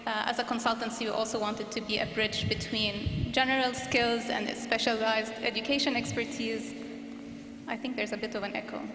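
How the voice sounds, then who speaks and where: A woman from Lebanon, an education consultant, speaking on a conference panel in a measured, explanatory way. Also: fairly high pitch, flat delivery. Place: conference hall. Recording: echoey hall with background noise.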